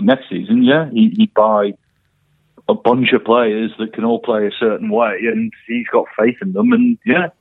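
A man's voice: lowish voice, husky, no room sound. A man on a phone line, chatting casually about football as a podcast panellist. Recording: tinny narrow phone line.

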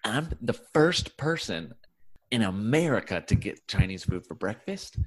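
A man's voice: fairly low pitch, big pitch swings, measured pace, slightly rough, voice pushed down, heavily acted, rough recording. A man playing a self-important, boastful diner customer, pompous and insistent, in improvised comedy. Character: self-important, boastful breakfast diner craving historic glory.

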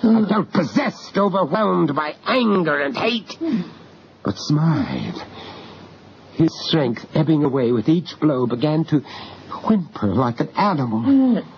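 An actor playing an earnest, courteous gentleman investigator recounting a horror tale in an old radio drama, sometimes hesitant and always dramatic. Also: a little high, very animated, takes it slowly, exaggerated voice. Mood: frantic. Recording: muffled, noisy old radio drama recording.

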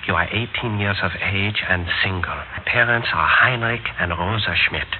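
A man acting a smooth, impatient, scheming German husband in an old-time radio drama. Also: deep voice, normal speed, lightly acted. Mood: urgent, tender. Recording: noisy, narrow-band old radio recording.

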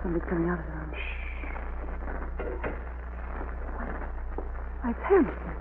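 An actress playing a detective's eager, wry female companion, inquisitive and lively, in a 1940s radio drama. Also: lowish voice, very fast, background noise, close to an everyday voice. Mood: hushed alarm. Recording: tinny old radio recording.

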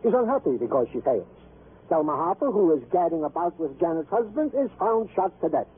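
A man playing a chatty, proud, comical American police sergeant in an old radio drama, husky and pompously folksy. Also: fairly high pitch, big pitch swings, brisk. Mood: earnest. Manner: pompous mock-formal wording, folksy. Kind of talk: 1950s radio comic-relief acting. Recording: muffled vintage radio broadcast recording.

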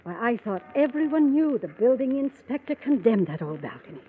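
A woman's voice: on the high side, sing-song, fairly quick, slight background noise. An actress playing a flustered, anxious married woman in a radio mystery, with fretful exclamations in a 1940s acting style. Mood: distressed. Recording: old radio recording, narrow tinny band.

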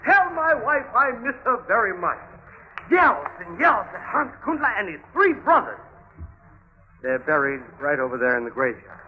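A muffled vintage radio recording carries an excitable, gossipy prison inmate. His voice is high and swings widely, and he tells things in a halting, mirthful way. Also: slight background noise. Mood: excited, mirthful. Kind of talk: old-time radio drama acting.

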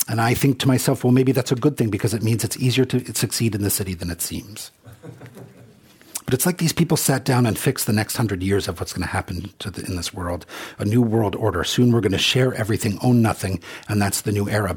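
A man's voice: on the low side, slightly rough. A middle-aged man from Congo who drives for a rideshare service, telling stories in an earnest, reflective monologue. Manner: earnest, reflective storytelling with quoted exchanges. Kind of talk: personal monologue.

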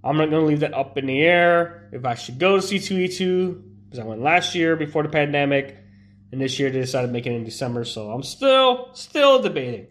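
A man's voice: fairly high pitch, lively intonation, a little fast, a bit bright. A congested young man chatting loosely as a streamer, rambling with many fillers and restarts. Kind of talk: casual live-stream chat.

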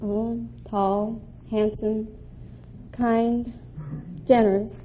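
A woman's voice: a little high, level tone, drawn-out words. A woman as a quiz contestant, giving short, casual answers to the host. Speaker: quiz show contestant, drive-in waitress. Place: broadcast studio. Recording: tinny, faintly noisy old radio broadcast.

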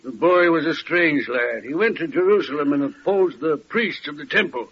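Male actor as biblical carpenter, weary and impatient, fairly high voice, archaic diction, muffled vintage radio recording. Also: normal speed, a little hiss or hum, close to an everyday voice. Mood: solemn, wondering. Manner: solemn, formal archaic diction. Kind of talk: old-time radio drama acting, archaic biblical English.

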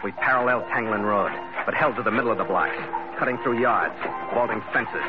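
An actor playing a tough, brisk American ship captain who narrates his adventure in an old-time radio drama, terse and hard-boiled. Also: lowish voice, natural voice. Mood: narrating. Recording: dull old radio recording with music.

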